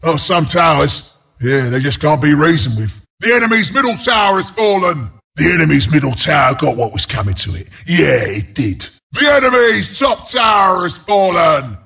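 Shouting, raspy British porcupine brute. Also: male voice, a little high, sing-song, normal speed, exaggerated voice. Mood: mock sympathy. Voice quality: gravelly, raspy. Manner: taunting, rowdy, blunt, mock-sympathetic asides. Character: mean, cocky, brawling porcupine beast announcer. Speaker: game announcer. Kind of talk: video game announcer barks.

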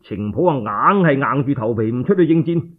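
Male Cantonese-accented storyteller, theatrical and emphatic, slightly thin lo-fi radio sound, husky voice. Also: mid-range voice, animated, moderate pace. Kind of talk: dramatic traditional oral storytelling, radio narration.

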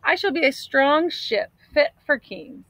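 Storybook young male tree, played by a woman: ambitious, earnest, declamatory, slightly high. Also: big pitch swings, a little slow, fairly bright tone, dry recording, exaggerated voice, poor audio. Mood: proud, hopeful.

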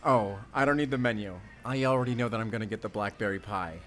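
A man's voice: on the low side, fast, fairly bright tone, natural voice. A man playing a friendly, playful young father in an intimate audio roleplay, chatting with bashful chuckles. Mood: cheerful, playful. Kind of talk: intimate audio roleplay acting, addressing the listener.

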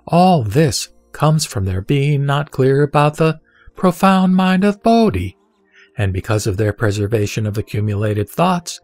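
A man giving a measured, solemn reading of a Buddhist scripture. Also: mid-range voice, dry recording. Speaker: sutra reciter. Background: soft background music.

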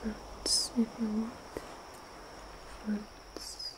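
A young woman whispering a slow, dreamy ASMR guided visualization close to the mic, with soft murmured hums between phrases. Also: a little high, monotone, poor audio. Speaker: ASMR host.

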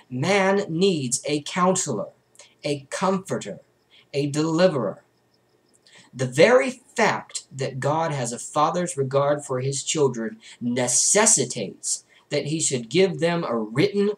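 A young man reads a religious book aloud in a measured, formal, rhetorical way, at a desk in a small bedroom. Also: fairly high pitch. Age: young adult. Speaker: amateur audiobook reader.

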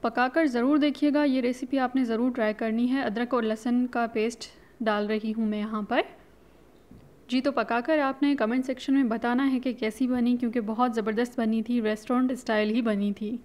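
A woman's voice: fairly high pitch, fairly even intonation, normal speed, a little mellow. A woman hosting her own cooking channel, casually talking viewers through a recipe step by step and mixing English words into her speech. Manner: chatty, instructive, mixes in English words.

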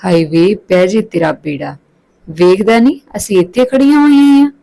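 A woman's voice: medium pitch, normal speed, creaky, dark tone, lightly acted, low-quality recording. A woman storyteller voicing a teasing, bold young female college student in colloquial, feisty speech. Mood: scolding.

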